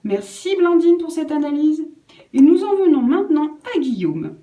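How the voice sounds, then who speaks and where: A woman's voice: high voice, sing-song, moderate pace, warm tone. A woman reading a scripted radio press review as a presenter, in a formal, careful manner. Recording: roomy, echoing room sound.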